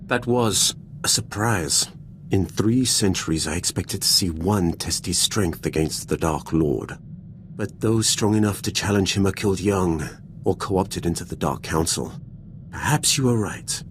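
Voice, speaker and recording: An actor voicing an ancient, disdainful male Sith lord in a video game, speaking in a measured, condescending way. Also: sits low, slight background noise. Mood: musing. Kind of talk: dramatic video game dialogue.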